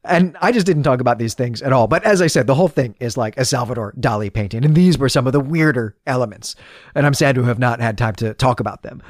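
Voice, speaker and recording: A man's voice: mid-range voice, fast, no echo, studio quality. A man hosting a book review podcast, speaking in articulate, literary, carefully phrased commentary. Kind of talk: solo book review commentary, partly scripted.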